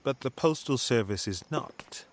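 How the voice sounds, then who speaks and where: An actor playing a smooth-talking, scheming man who feigns friendly concern in an audio drama. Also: medium pitch, expressive, unhurried, slight background noise, natural voice. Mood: sly, coaxing.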